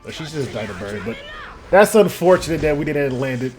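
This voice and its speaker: Guy rambling casually over his gameplay, pitch jumps around a lot, faint music behind. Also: very animated, brisk, a little mellow. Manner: rambling, reacting aloud to gameplay. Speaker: gaming video host. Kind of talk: casual game commentary.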